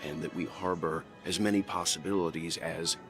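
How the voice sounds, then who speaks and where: An actor playing a calm, wise older man, speaking in a measured, thoughtful way in a game. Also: deep voice. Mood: reflective. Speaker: game character. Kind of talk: game voice acting. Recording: game audio with music underneath.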